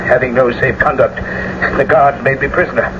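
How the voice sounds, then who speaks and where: An actor playing an earnest young messenger with grave news in a classical radio drama. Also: male voice, mid-range voice, fairly even intonation, a little fast, lightly acted. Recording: dull, noisy old radio broadcast.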